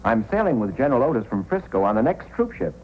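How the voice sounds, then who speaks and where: Male actor as earnest young reporter, lively intonation, vintage radio drama, faint noise behind. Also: mid-range voice, fast talker, lightly acted, rough recording. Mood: proud. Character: eager, earnest young newspaperman from New York. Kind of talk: old-time radio adventure acting. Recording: old radio recording, background noise.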